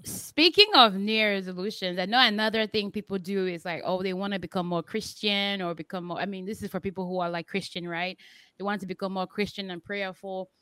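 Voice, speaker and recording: A woman hosting a podcast, chatting casually and telling stories in an animated way. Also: medium pitch, a little fast, clear, resonant tone, dry recording. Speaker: podcast host.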